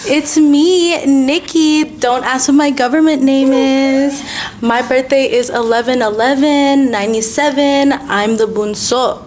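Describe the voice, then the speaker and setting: A woman's voice: sits high, fairly even intonation, a little slow, darkish tone, a little hiss or hum. Playful, upbeat young American woman. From United States. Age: 20s. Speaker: co-host. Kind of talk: casual podcast chat.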